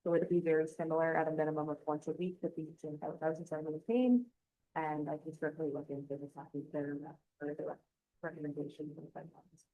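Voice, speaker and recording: A woman's voice: sits low, quick pace, dark tone, low-quality recording. A woman from township staff giving a brief, businesslike update to council.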